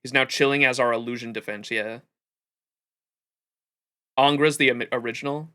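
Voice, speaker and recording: Bright-voiced man hosting a stream. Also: mid-range voice, normal speed, smooth. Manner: animated, sweary, rambling. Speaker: livestream host. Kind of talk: casual gaming stream commentary.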